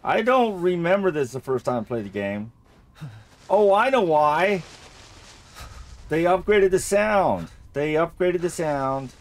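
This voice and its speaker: A man's voice: on the high side, big pitch swings, a little slow, long pauses. A man giving casual Let's Play commentary on a horror game, rambling and thinking aloud as he plays, often repeating himself. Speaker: gamer narrating a horror game playthrough.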